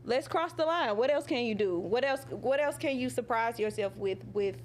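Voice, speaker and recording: Woman singer, interview guest. Slightly high, lively voice, animated and laughing, acts out quotes, with a faint noise floor. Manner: drawn-out fillers like "you know" and "um". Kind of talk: casual interview chat.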